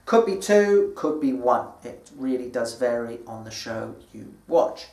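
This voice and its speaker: A British man presenting an educational lesson in a deliberate, explanatory manner, like a teacher addressing language learners. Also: medium pitch, animated, creaky, a little mellow. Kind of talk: scripted educational presentation to camera. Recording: echoey room, distant microphone.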